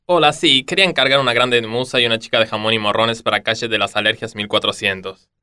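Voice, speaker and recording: A man's voice: lowish voice, fairly even intonation, fast talker, heavy background noise, lightly acted. A man playing a sly, lovestruck criminal dealer, suave and melodramatic, in a parody radio drama. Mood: businesslike, secretive.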